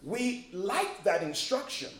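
High-voiced preacher from Liberia, echoey hall. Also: male voice, big pitch swings, slow pace, a bit bright. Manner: emphatic preaching with humor and repetition. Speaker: pastor. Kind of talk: sermon.

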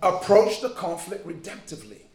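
High-voiced preacher from Liberia, echoey hall. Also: male voice, expressive, moderate pace, darkish tone. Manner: emphatic preaching with humor and repetition. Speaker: pastor. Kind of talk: sermon.

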